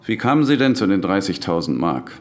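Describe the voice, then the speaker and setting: Curt, menacing police official. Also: male voice, deep voice, big pitch swings, fairly quick. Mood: suspicious, officious. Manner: curt, interrogating, bureaucratic. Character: brusque, menacing, officious male Nazi-era police official. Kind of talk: German radio drama acting.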